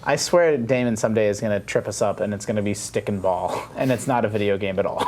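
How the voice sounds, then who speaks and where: A man's voice: fairly low pitch, quick pace, a little mellow. A man in casual panel chat, talking in a puzzled way as he repeats and clarifies his questions. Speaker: podcast panelist.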